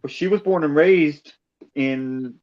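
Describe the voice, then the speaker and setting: A man's voice: a little high, slow pace, darkish tone, lo-fi sound. A man chatting casually, putting in short remarks during a group conversation. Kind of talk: casual chat, short interjections.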